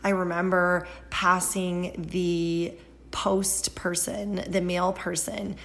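Podcast host, woman in her 30s, low voice, hoarse and raspy today, patient and chatty. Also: level tone, a bit bright. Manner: chatty, explains slowly and patiently. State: hoarse, scratchy voice from a seasonal cold. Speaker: pediatric sleep consultant and podcast host. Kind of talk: solo podcast Q and A.

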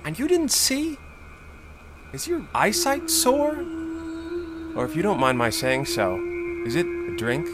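A man voicing a manipulative court finance secretary who speaks in a measured, insinuating way. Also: high voice, sing-song, over music. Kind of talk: audio drama acting.

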